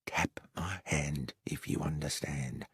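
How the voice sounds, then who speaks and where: A man voicing a brisk, wry Victorian policeman in an audiobook, hushed and urgent, often close to a whisper. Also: rumbling low, husky, no echo, pitched below the performer's own voice, full character voice. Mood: hushed, commanding. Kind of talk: audiobook character acting.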